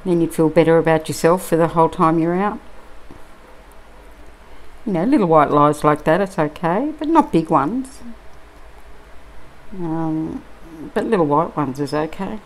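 A middle-aged Australian woman chatting casually and musing aloud, with many pauses and ums, while she crafts at a table indoors. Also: sits low, animated, rough recording. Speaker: crafting vlogger.

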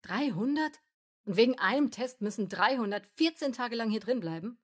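A woman's voice: on the high side, lively intonation, moderate pace. A woman playing an anxious, disbelieving but compliant teenage girl in a radio play. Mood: worried disbelief. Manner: worried, questioning.

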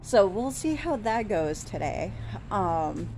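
Slow, rambling woman vlogging outdoors. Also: medium pitch, big pitch swings. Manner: rambling, slow, with many fillers and restarts. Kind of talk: casual walk-and-talk vlog. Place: outdoors by a store, daytime. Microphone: phone held at arm's length. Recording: outdoor phone recording with traffic noise.

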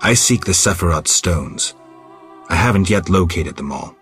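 An actor playing a stoic monster hunter in a fantasy game, with a dry, terse, deadpan delivery. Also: male voice, low voice, moderate pace, soft background music. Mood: level, businesslike.

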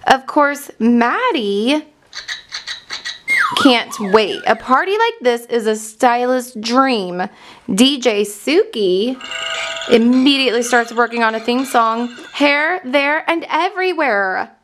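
A woman reading a children's storybook aloud in an excited, expressive manner and enunciating for young listeners. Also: fairly high pitch, slow pace, a little hiss or hum.

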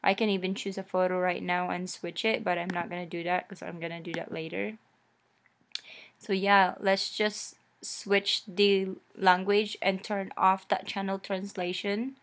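A woman's voice: mid-range voice, level tone, measured pace, fairly bright tone. A young woman whose first language is Filipino, chattily narrating a screen-recorded tutorial with many fillers and restarts. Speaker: YouTube tutorial host.

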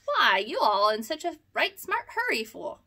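A woman's voice: a little high, very animated, normal speed, sharp tone, full character voice. A woman doing a folksy old possum. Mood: startled. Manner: drawling dialect, chuckling. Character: folksy old male possum, jolly and teasing.

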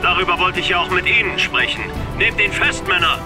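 An actor playing a calm, authoritative male commander-in-chief who speaks in a cool, composed way. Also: high-pitched, fairly quick, over music. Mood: cool authority.

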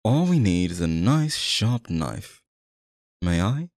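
Man acting a sinister, theatrical stage magician, animated. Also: deep voice, no room sound, clean studio sound, full character voice. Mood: suave, showy. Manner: theatrical, coaxing, drawn-out phrasing. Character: sinister smooth-talking male stage magician, possessive and courtly. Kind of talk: ASMR roleplay, theatrical acting to the listener.

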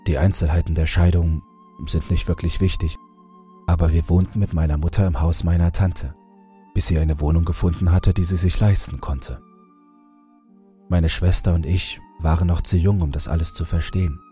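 A man reading a scripted horror audiobook in a measured, dramatic storytelling manner. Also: low voice, steady tone, warm tone. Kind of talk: scripted creepypasta audiobook narration. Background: background music.

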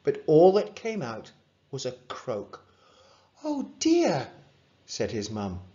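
A reader narrating a children's picture book at an unhurried, deliberate pace. The pitch swings widely as the story unfolds. The recording sounds dull and lo-fi. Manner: very slow, expressive storytelling.

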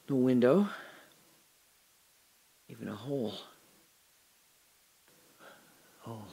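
An actor playing a man trapped in a dark, airless space, muttering to himself haltingly and drifting into memories in an audio drama monologue. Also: medium pitch, breathy edge, exaggerated voice. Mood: confused.

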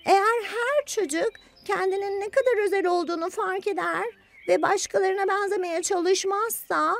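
Woman voicing a very high-pitched cartoon little girl. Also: moderate pace, vocal fry. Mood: bright, explanatory. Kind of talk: children's cartoon voice acting. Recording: music bed behind the voice.

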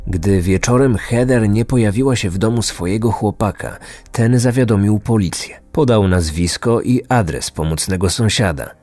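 Low-voiced man narrating true crime. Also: normal speed, few pauses, warm tone. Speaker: podcast host and narrator. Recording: music behind, some reverb. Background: music.

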